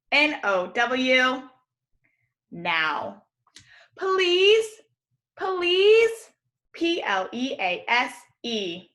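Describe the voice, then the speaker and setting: Bright, slow-paced American woman teaching children. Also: a little high. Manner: encouraging, exaggerated clear enunciation. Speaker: kindergarten teacher. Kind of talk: distance lesson for young children, call-and-repeat drill.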